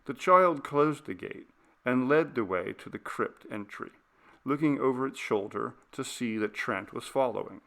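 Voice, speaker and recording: Slow, gravelly male storyteller. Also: mid-range voice, animated, a bit bright. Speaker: audiobook narrator. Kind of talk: scripted literary narration.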